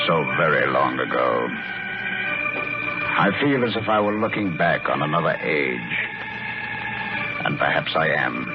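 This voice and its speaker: An actor playing a grave, haunted army colonel in a 1940s radio drama, speaking earnestly and dramatically. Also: male voice, sits low, full character voice. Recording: muffled old radio recording with music.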